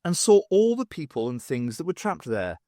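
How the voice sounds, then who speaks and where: A man puts on a fussy male artist for an audiobook. The voice is pitched high and swoops around as he rambles airily, half blustering and half apologetic. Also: sing-song, normal speed, mellow, no room sound, studio quality. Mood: dreamy wonder. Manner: rambling, airy, hesitant.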